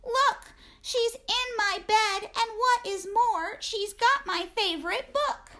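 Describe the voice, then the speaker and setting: A woman's voice: very high voice, slow, bright, forceful tone, voice pushed up, full character voice. A woman voicing a small, indignant baby bear in a children's storybook, with a childlike complaining manner.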